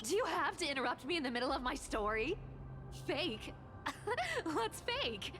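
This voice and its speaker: High-pitched sly trickster treasure huntress. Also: female voice, big pitch swings, normal speed, a bit bright, slight background noise. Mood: indignant. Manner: teasing, theatrical, threatening. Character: sly, vain trickster woman, greedy treasure hunter and bossy boss. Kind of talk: video game voice acting. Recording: game music behind the voice.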